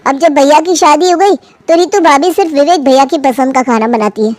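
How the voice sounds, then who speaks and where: A frustrated young man, voiced by a woman in a cartoon family story. He grumbles wearily in a high-set voice, recorded close and dry. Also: brisk, a little mellow, no room sound, close to an everyday voice. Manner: grumbling, weary.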